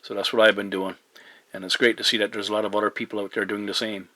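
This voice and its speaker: A middle-aged man chatting as a radio operator, courteous and measured, with hesitant phrasing and operator jargon. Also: lowish voice, flat delivery, noisy background. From Newfoundland, Canada. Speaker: amateur CB radio operator hosting a video contact.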